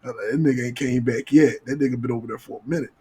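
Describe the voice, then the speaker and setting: A man with a husky voice telling a casual podcast story in slangy, profane talk, acting out the dialogue. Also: medium pitch, fairly even intonation, fast, darkish tone. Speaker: podcast co-host.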